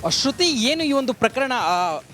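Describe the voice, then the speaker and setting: Very high-pitched male news reporter, live. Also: sing-song, measured pace, sharp tone, soft background music. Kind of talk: live report to the studio, unscripted explanation.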